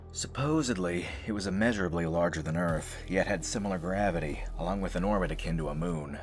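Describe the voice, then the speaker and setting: A man narrating an audio drama as a storyteller, with a wry, informal turn of phrase. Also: sits low.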